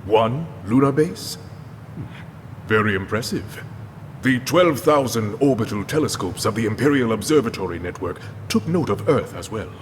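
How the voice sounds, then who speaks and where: A regal warrior king of an African empire voiced by a man for a video game. The voice is low and measured, with an African accent and expressive, dignified phrasing. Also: a little hiss or hum, natural voice. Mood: proud, firm.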